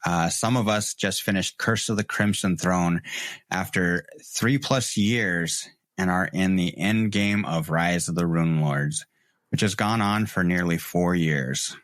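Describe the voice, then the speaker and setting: A man's voice: low voice, measured pace, a bit bright, dry recording. A man in his forties, the game master and host, talking casually on a podcast in an easygoing way.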